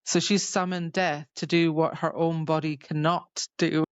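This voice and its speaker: Female podcast co-host, rather low voice, dry and a bit muffled, loosely rambling through a story retelling. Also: flat delivery, clear, resonant tone, no room sound. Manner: loose, halting, filler-heavy phrasing with many "like"s. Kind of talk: casual book chat, retelling scenes.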